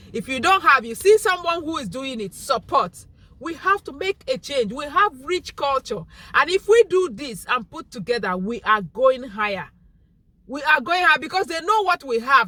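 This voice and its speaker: A woman's voice: on the high side, pushed and bright, no room sound. A young woman with a Nigerian Igbo accent talking straight to camera in an earnest, pleading vlog monologue. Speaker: vlogger.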